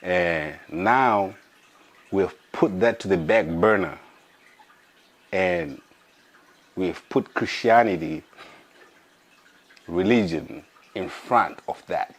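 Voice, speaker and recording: Podcast host, hoarse, very low voice, slow and searching. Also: male voice. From Africa. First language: an African language. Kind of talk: casual monologue.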